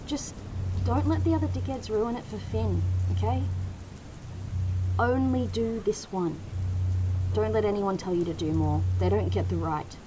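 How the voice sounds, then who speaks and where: A woman's voice: medium pitch, measured pace, pauses often, background noise, natural voice. A woman playing a tough, no-nonsense outpost boss in an audio drama, blunt and commanding. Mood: concerned, firm.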